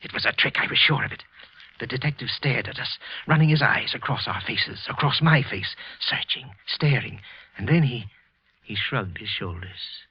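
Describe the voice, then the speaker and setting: An actor playing a frightened, guilt-ridden office clerk confessing in a halting 1940s radio suspense narration. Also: male voice, mid-range voice, animated, breathy edge, dry recording. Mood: fearful. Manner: tense, halting, repeating himself. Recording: muffled old radio recording.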